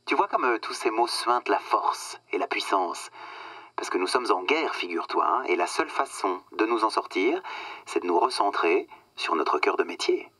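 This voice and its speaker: Smug corporate boss, bright forceful voice. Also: male voice, on the low side, lively intonation, no echo, heavily acted. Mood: smug, persuasive. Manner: slick, condescending, mock-earnest coaching with emphatic pauses. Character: smug cynical male corporate boss peddling euphemisms. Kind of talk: satirical French radio drama acting.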